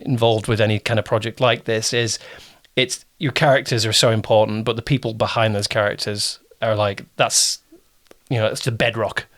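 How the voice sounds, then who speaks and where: A man speaking as a producer and interviewer in a relaxed, conversational interview, with an earnest, complimentary way of talking. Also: lowish voice, normal speed.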